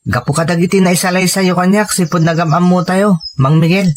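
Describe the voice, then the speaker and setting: A man's voice: high-pitched, fairly even intonation, brisk, a little hiss or hum, voice pushed up, natural voice. A man playing a young, idealistic rebel recruit in an audio drama, earnest and respectful in manner. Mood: resolute.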